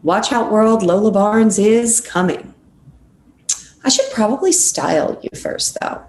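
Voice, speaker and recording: A woman's voice: mid-range voice, a little slow, darkish tone, natural voice. A woman at a table read playing a teasing, frank young roommate, with faint noise behind. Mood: playful, teasing.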